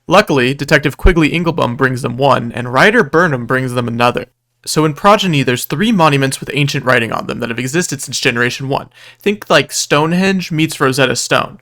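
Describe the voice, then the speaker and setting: A man's voice: medium pitch, lively intonation, fairly quick, bright, forceful tone. Wry male recap narrator. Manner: wry, casual asides mixed with dramatic storytelling. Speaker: video narrator, storyteller. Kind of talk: scripted narration, video recap of a roleplay event.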